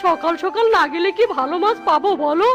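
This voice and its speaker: A woman's voice: very high voice, a little fast, soft background music. A woman voicing an eager, cheeky younger fox brother in a children's cartoon, with a teasing, colloquial way of talking. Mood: cheerful teasing. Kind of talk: animated children's cartoon dialogue.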